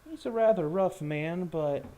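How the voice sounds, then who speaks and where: A man voicing a wary, guarded thief and informant in a tabletop game, speaking in a hesitant, folksy, measured way. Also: high voice, warm tone, voice pushed up. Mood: wary, musing. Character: wary low-life male thief and informant, casual and guarded.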